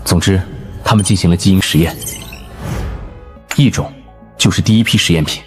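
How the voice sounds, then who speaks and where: A man voicing a composed survivor in an animated drama, explaining grim secrets in a measured, deliberate way. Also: low-pitched, faint music behind, natural voice. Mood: grave, explaining. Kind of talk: animated drama dialogue, expository.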